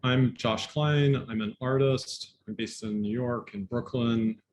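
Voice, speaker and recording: A man, an artist from Philadelphia, introducing himself in a brief, relaxed way. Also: mid-range voice, measured pace. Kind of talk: self-introduction. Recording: faint background noise.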